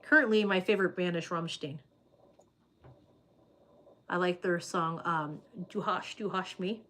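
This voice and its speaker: A woman's voice: on the low side, a little slow, a bit bright. A woman hosting a casual livestream, chatting loosely with viewers and reading their comments, with plenty of "like" and "um" fillers.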